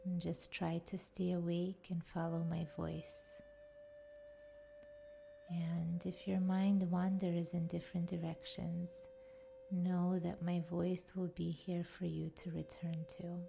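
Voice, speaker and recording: A woman with a soft, breathy voice that often nears a whisper, guiding a meditation in a soothing, deliberate manner. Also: lowish voice, completely flat delivery. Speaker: podcast host and yoga nidra guide. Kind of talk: guided meditation narration. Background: faint music.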